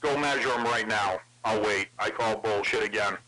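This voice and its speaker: A man's voice: lowish voice, moderate pace. Man on noisy CB radio. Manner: crude, profane teasing. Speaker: CB radio operator. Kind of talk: casual radio banter. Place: over CB radio. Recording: noisy CB radio transmission with clicks.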